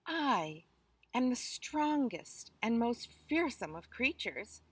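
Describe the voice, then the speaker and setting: A woman's voice: on the high side, big pitch swings, takes it slowly, slightly rough, no echo, recorded from a distance, pitched above the performer's own voice, full character voice. A woman voicing a boastful, self-satisfied male thief in a children's storybook. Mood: triumphant. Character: boastful, triumphant male thief hiding on roof.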